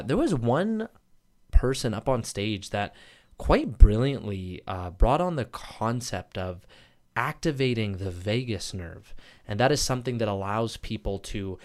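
A man co-hosting a podcast, giving a casual, upbeat and rambling introduction full of fillers and small slips. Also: medium pitch. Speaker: podcast co-host.

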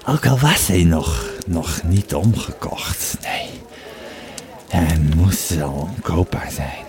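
A man playing an old Roman legionary veteran in an audio play, telling a grim memory in a halting, dramatic way. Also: sits low, sing-song, a crowd in the background, heavily acted. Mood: grim, haunted. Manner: slow, halting, dramatic storyteller. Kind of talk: in-character storytelling in an audio play.

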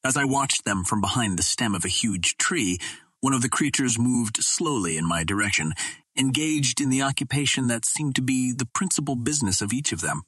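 A man narrating an adventure novel as an audiobook, reading in a measured, formal storytelling manner. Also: medium pitch, animated, a bit bright, husky, dry recording.

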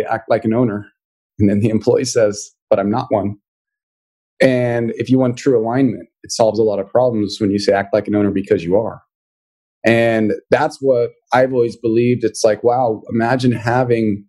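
A man's voice: lowish voice, warm tone, slightly rough, low-quality recording. A man guesting on a podcast as a financial coach, talking earnestly and thinking aloud as he goes. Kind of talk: casual interview chat.

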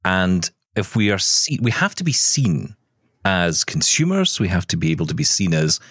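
Deep-voiced male interview host. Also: sing-song, normal speed, fairly bright tone, breathy edge, no room sound, studio quality. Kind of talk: conversational interview.

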